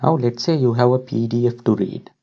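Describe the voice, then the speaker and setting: A man narrates an instructional screen recording at a medium pitch. His voice is soft-edged and dark with a gravelly fry, and the recording sounds dull and lo-fi. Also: a little fast. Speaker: tutorial presenter.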